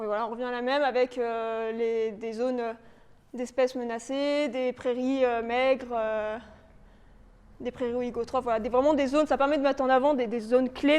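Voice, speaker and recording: A woman's voice: on the high side, big-room sound. A woman giving an informal, slide-based presentation as a project presenter, explaining in a hesitant, loosely improvised way. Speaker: presenter for a local authority biodiversity project. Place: reverberant indoor meeting room, live audience presentation.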